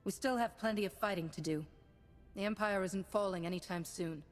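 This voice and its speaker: A woman plays a composed, confident agent in a space game. Her mid-pitched voice is measured, firm and diplomatic. It carries long reverb with music underneath. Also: close to an everyday voice. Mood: calm, confident. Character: composed, principled female Republic agent, calm and confident. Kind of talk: dramatic science-fiction video game acting.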